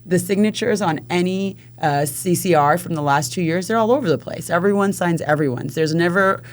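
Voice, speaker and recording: A woman's voice: on the low side, a little fast. Woman council member explaining with fillers. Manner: explanatory, thinks aloud with many fillers. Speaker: city council member guest. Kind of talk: casual panel conversation.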